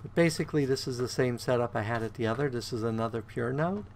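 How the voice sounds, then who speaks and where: A man giving casual gameplay commentary on his own play, a bit croaky today, counting items aloud and humming to himself. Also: medium pitch, fast, a little hiss or hum. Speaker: game streamer.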